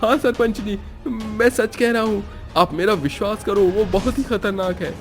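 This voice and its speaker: A man's voice: high-pitched, normal speed, soft background music, pitched above the performer's own voice. A man voicing a terrified village man, urgent and pleading, in a horror story. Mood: panicked.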